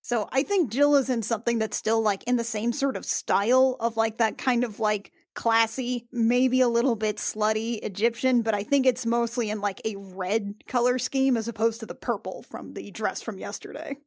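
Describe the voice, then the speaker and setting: A woman's voice: fairly high pitch, steady tone, moderate pace, few pauses, no echo, clean studio sound. A woman playing a tabletop roleplaying game, chatting in a casual, slangy, improvised way. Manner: slangy, conversational.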